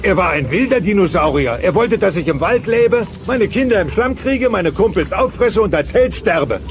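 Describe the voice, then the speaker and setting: A blustering middle-aged dinosaur dad, high-voiced and swooping with theatrical flair. He is bossy and melodramatic, heard over music on a dull cassette-like recording. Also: lively intonation, normal speed, background noise. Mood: reflective. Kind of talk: German-dubbed sitcom radio play.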